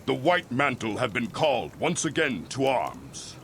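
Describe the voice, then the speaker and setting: An actor playing a grave, vengeful religious leader in a fantasy game, delivering measured, preaching oratory. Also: male voice, mid-range voice, very animated, fairly bright tone, a little hiss or hum. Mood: grave, righteous. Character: solemn, vengeful male religious leader rallying followers. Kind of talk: video game cutscene acting.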